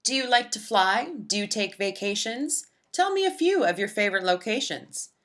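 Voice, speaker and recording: A woman's voice: mid-range voice, lively intonation, normal speed, fairly bright tone, lightly acted. A woman voicing an earnest, inquisitive child detective in a storybook, asking eager, probing questions.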